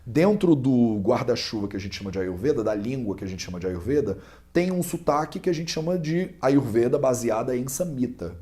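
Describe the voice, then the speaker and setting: Young Carioca man with a warm voice. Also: mid-range voice, lively intonation, fairly quick. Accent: Carioca Brazilian Portuguese. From Brazil. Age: young adult. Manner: patient explaining with everyday analogies, frequent restarts. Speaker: Ayurveda student interviewed as a guest. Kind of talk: explanatory interview answer.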